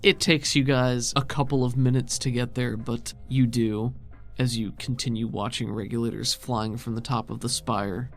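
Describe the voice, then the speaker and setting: A man's voice: medium pitch, gravelly. A man narrating a tabletop roleplaying game as game master, in a descriptive, conversational way. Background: music.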